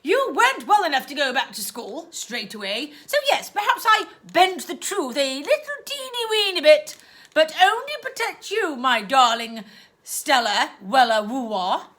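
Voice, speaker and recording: A woman doing a shrill, scheming cruel aunt. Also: big pitch swings, measured pace, heavily acted. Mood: theatrical menace. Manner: loud, clipped, sickly-sweet baby talk.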